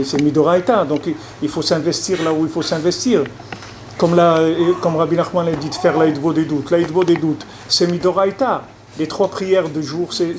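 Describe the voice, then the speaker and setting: A man, a rabbi, giving a conversational public lecture, often repeating and restarting his words and checking that listeners follow. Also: fairly high pitch. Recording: noisy lecture recording.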